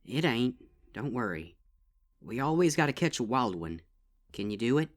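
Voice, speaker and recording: A man's voice: medium pitch, sing-song, normal speed, pitched above the performer's own voice, natural voice. A man voicing a stern, protective older-sister farm pony with a drawl. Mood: solemn. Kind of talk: fanfic audiobook reading.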